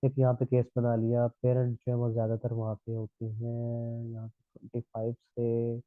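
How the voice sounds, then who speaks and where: A man's voice: medium pitch, completely flat delivery, normal speed, no echo. A man teaches a live online class while nursing a sore throat. He walks through each point and keeps checking in with his students. His voice comes over a tinny, phone-like line that sounds dry and close. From Pakistan. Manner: explanatory, repeats "thik hai" checks.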